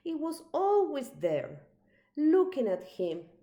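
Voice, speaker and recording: A woman reading a children's picture book aloud in an expressive, deliberate storytelling manner. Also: high-pitched. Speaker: storybook reader.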